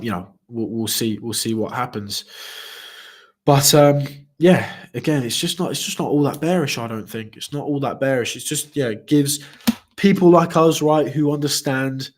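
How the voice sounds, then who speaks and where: A man's voice: medium pitch, normal speed. A young man hosting a live trading stream, talking casually to viewers with many fillers and restarts. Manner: speaks to chat viewers directly. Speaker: crypto trading livestream host.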